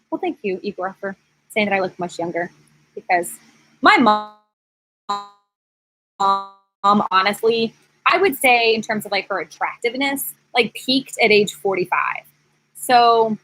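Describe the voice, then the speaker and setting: Bright-voiced woman in her thirties, chatting casually. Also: medium pitch, a little slow, long pauses, rough recording. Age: 30s. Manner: candid, animated, rambling. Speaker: YouTube host, former teacher. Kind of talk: casual live-stream chat, answering viewers. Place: screened outdoor porch.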